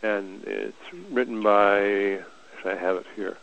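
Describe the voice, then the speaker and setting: A soft-spoken man with a low, gravelly voice speaks haltingly, restarting his sentences often as he explains. His audio comes through dull and lo-fi, like a remote call. He talks as a guest in a relaxed conversation. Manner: hesitant, halting with many restarts.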